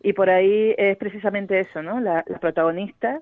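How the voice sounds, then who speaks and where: A woman's voice: mid-range voice, fairly even intonation, moderate pace, no echo. A woman novelist from Gran Canaria, answering radio interview questions thoughtfully, with many fillers and restarts. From Gran Canaria, Spain. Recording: dry, tinny phone-like line.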